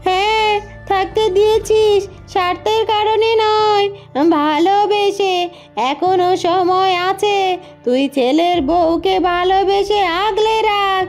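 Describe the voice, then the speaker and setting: A woman plays a weary old auntie in a cartoon, with an extremely high voice. She is frank and kindly, admonishing in drawn-out exclamations over prominent background music. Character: elderly visiting aunt, frank, weary, kindly advising. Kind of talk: Bengali moral-tale cartoon dubbing.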